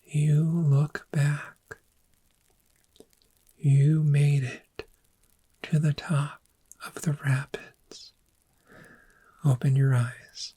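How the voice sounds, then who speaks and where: A woman playing a gentle, patient dragon therapist in a soft-spoken ASMR roleplay, with a breathy, whispery, measured and reassuring delivery. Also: low-pitched, little pitch movement, exaggerated voice. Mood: calm, guiding.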